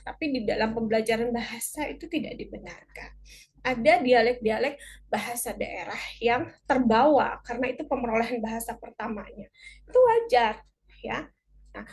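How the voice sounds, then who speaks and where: A woman with an Indonesian accent giving an explanatory lecture in a conversational, encouraging teacher's manner, slipping into short example quotes. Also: fairly high pitch. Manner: didactic, encouraging, conversational, often tagging points with "ya". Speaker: lecturer in language teacher education.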